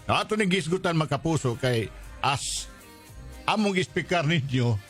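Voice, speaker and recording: A man's voice: fairly high pitch, expressive, normal speed, fairly bright tone. A man with a Cebuano accent hosting a radio show, chatting casually with callers. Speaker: radio host. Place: radio studio. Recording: music playing behind the voice. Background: music.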